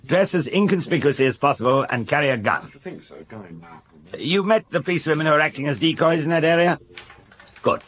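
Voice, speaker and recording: An actor playing a seasoned older police inspector in a radio drama, brisk, wry and matter-of-fact. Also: male voice, fairly high pitch, a bit bright, lightly acted. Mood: brisk, businesslike. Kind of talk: British radio drama acting.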